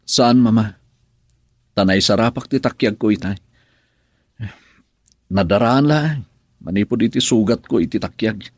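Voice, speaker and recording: A man's voice: lowish voice, a little slow, long pauses, a little mellow, no echo, studio quality. An actor playing an injured husband earnestly pleading with his mother, in Ilocano radio drama style with an Ilocano accent.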